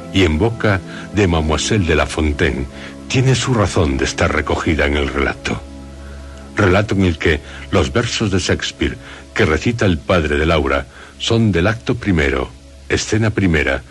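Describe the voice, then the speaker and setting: Really deep-voiced spanish guy, castilian accent, solemn slow-phrased radio host, music under him. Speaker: radio drama host and narrator. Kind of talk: scripted introduction and narration.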